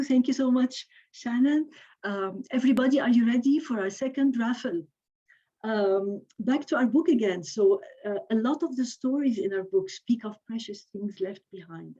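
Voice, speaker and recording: A woman co-hosts an online community event and announces a raffle. She talks in a friendly way, with a few fillers. Her voice is mellow and warm, and it sounds slightly muffled. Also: mid-range voice. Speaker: co-host running a raffle. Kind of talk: event hosting, partly scripted.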